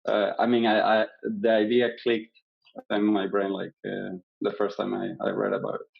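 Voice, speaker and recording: A man from Venezuela answering interview questions, his voice low and gravelly. He talks haltingly, stacking fillers and restarts. The recording sounds dull and lo-fi. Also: completely flat delivery. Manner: hesitant, many fillers and restarts, laughs lightly. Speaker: interview guest, candidate.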